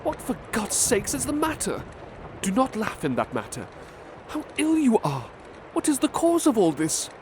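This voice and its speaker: Worried young friend pleading high and strained as a man puts him on, street hum under it. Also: huge pitch swings, normal speed, full character voice. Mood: alarmed. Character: young male friend, anxious and caring.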